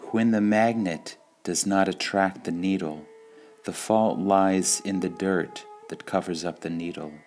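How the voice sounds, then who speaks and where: A man reading spiritual sayings aloud in a calm, measured way, pausing between phrases. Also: deep voice, soft background music. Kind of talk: slow meditative reading of quotations.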